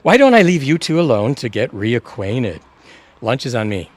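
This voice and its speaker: A man's voice: medium pitch, big pitch swings, moderate pace. A man playing a chipper, scheming matchmaker buddy in a comedic audio play, with emphatic delivery. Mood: sly, eager. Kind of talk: comedic audio theatre acting.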